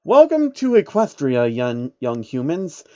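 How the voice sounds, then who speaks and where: A man's voice: fairly high pitch, huge pitch swings, a little slow, darkish tone. A man voicing a composed female pony princess in a storybook reading, polite and formal, on dull audio. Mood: stately, polite.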